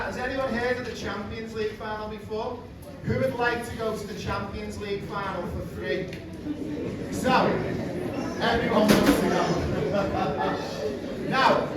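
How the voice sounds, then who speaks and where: A man from England with a Liverpool accent, giving a chatty, direct live presentation to parents and children in a large room. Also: sits high. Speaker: presenter, program founder. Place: large indoor room with audience. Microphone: far room mic. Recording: echoey room, distant mic, background noise.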